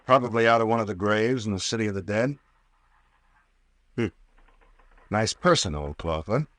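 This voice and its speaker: An actor playing a brash, sardonic captain and investigator with folksy slang in an old-time radio drama. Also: male voice, lowish voice, animated, normal speed, a bit bright, no room sound. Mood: wry, probing. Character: gruff wisecracking sea captain playing armed investigator.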